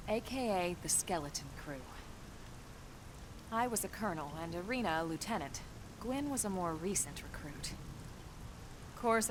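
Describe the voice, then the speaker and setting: A composed veteran female colonel from a video game, played by a woman, with a lowish voice. She is brisk and matter-of-fact but informal, guiding a newcomer over a clearly noisy, rumbling background. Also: lightly acted. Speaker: military colonel. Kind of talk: video game cutscene dialogue.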